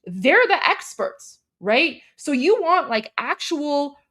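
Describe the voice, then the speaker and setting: A woman's voice: high voice, very animated, slow pace, fairly bright tone. A woman hosting a casual livestream, translating and commenting conversationally, repeating and rephrasing herself as she addresses viewers directly.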